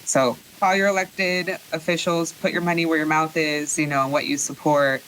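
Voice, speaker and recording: A woman's voice: low voice, steady tone, moderate pace, fairly bright tone, slight background noise, rough recording. A young adult woman, a law professor and attorney, talking earnestly and upbeat in a casual podcast interview. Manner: earnest, upbeat, talks with many fillers.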